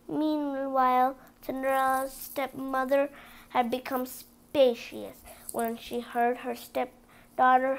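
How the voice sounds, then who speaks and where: Woman reading a kids storybook out loud, high voice, really slow, bit stumbly. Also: low-quality recording. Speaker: storybook reader. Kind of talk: reading a children's story aloud.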